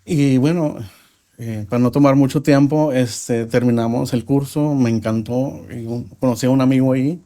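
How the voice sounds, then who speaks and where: A man from Mexico with a Mexican accent, a podcast guest telling his life story in a reflective, halting way with frequent pauses. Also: medium pitch, fairly even intonation, warm tone. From Mexico (Nuevo Laredo). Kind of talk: personal testimony in a podcast interview.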